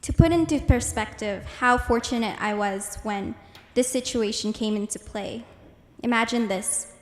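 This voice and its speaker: A teenage girl from the Philippines, first language Ilonggo, gives a prepared talk in an earnest, measured way. Her voice rings through a large hall with a long reverb tail. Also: fairly high pitch. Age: teens. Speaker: student speaker. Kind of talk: prepared presentation.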